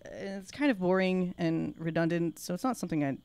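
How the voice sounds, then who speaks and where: A woman improvising in-character dialogue at a tabletop game, speaking in a hesitant, halting way. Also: on the low side, darkish tone, clear, resonant tone, no room sound.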